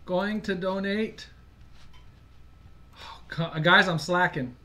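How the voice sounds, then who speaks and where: A man's voice: sits high, steady tone, takes it slowly, bright, forceful tone. Chatty man hosting a live stream. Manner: chatty, laughs easily, loses his place. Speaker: livestream co-host. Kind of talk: casual live-stream chat.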